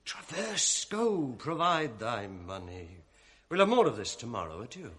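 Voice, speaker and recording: An actor playing a scheming, sardonic male ensign in a 1980s radio drama, mocking and sly, sinking to a hushed mutter when plotting. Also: medium pitch, big pitch swings, unhurried, slightly rough, exaggerated voice. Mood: conspiratorial.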